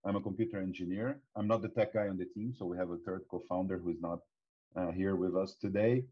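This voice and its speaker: Deep Brazilian-accented man, hesitant. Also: fairly even intonation, fast, husky, highs cut off. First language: Portuguese. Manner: hesitant, many restarts and repeated words. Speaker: startup co-founder, guest. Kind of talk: podcast interview.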